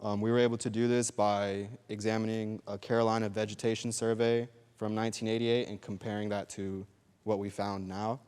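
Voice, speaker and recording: Young man, student presenter, steady and slightly halting, clean voice, lecture hall. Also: on the low side, smooth. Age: young adult. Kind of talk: research presentation.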